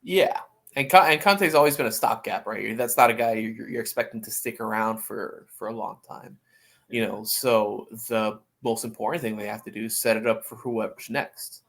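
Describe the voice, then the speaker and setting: A man co-hosting a sports podcast, giving opinions in casual talk and often halting to find his words. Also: fairly low pitch, creaky, a bit bright. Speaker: podcast co-host. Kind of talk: casual soccer discussion.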